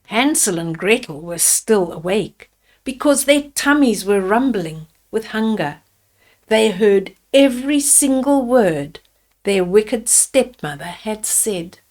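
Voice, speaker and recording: An older woman reading a bedtime fairy tale aloud to young children, deliberately, with explanatory asides about the pictures. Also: mid-range voice, animated, darkish tone.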